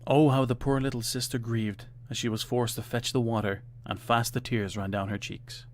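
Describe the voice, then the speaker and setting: A man's voice: medium pitch, steady tone, moderate pace. A man reading a fairytale aloud as scripted storybook narration.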